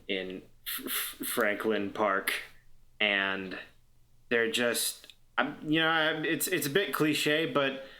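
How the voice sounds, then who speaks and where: A man playing on an actual play podcast, improvising scenes haltingly and repeating words as he thinks. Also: mid-range voice, pushed and bright. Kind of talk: improvised tabletop roleplay narration.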